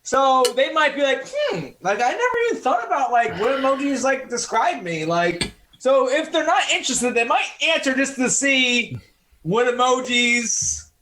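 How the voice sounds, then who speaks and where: A man co-hosting a casual podcast, teasing and joking in an animated way. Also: extremely high pitch, normal speed, slight background noise. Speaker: podcast co-host. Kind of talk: casual chat.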